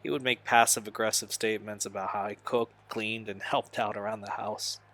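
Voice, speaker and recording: Low-pitched, earnest, troubled young man, acted by a man. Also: steady tone, fairly quick, fairly bright tone. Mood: bitter, anguished. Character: Steve, troubled young man recalling a painful family past. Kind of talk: audio drama acting.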